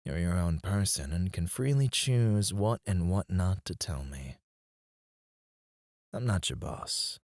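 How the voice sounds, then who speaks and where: A man's voice: deep voice, lively intonation, a little mellow, dry recording, studio quality, full character voice. A man playing an obsessive, possessive CEO in an ASMR roleplay, speaking in a smug, menacing and condescending way. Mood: calmly menacing. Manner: smooth-talking, condescending, drawn-out deliberate phrasing.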